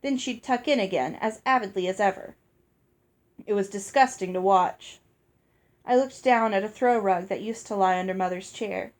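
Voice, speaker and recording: A woman narrates a story as an audiobook reader. Her voice sits at a middle pitch, and she reads scripted prose expressively. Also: moderate pace.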